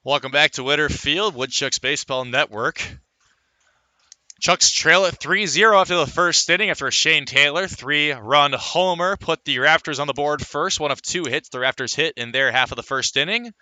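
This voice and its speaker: A man's voice: a little high, moderate pace, pushed and bright, no echo. A man calling live baseball play-by-play in a radio commentary style, filling gaps with player statistics.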